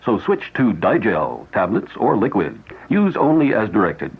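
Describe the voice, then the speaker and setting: A man's voice: fairly low pitch, huge pitch swings, moderate pace, slightly rough. Earnest male radio ad announcer. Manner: earnest, persuasive. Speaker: commercial announcer. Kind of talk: 1970s radio advertisement read. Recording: tinny old radio broadcast recording.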